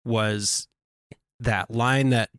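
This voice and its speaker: A man's voice: mid-range voice, steady tone, drawn-out words, fairly bright tone, smooth, no echo, clean studio sound. A man co-hosting a podcast, talking earnestly and reflectively, thinking aloud as he goes. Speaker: podcast co-host. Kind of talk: casual podcast discussion.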